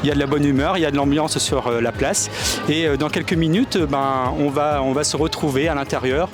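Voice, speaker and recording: A man's voice: on the high side, steady tone, brisk, music in the background. A man reporting on location during a youth pilgrimage, talking outdoors in a town square with many hesitations and restarts. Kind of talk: on-location vlog commentary.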